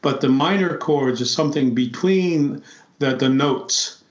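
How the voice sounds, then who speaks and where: A reflective man talks slowly through his ideas, stopping and repeating words as he searches for them. His voice sits at an ordinary middle pitch. The sound is dull and lo-fi, with a roomy echo around it. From South Dakota, United States. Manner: thoughtful, halting, repeats words. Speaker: podcast guest, flute player. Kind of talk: reflective conversation.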